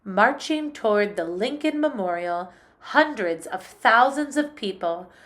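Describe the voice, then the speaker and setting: Woman slowly reading a children's story. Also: mid-range voice, lively intonation, a bit bright. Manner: slow, clear storytelling. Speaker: music teacher. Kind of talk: reading a picture book aloud to children.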